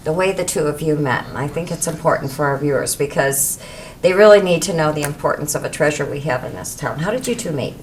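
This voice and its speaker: A woman with a low-pitched voice hosts a local TV arts interview, chatty and encouraging with her guests. Faint noise hangs under the recording. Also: fast talker. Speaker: host.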